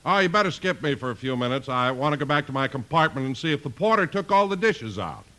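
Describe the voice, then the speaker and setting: A genial, self-mocking announcer in a 1950s radio comedy. The voice is forceful and bright, fairly high, with lively swings in pitch. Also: male voice, quick pace, low-quality recording.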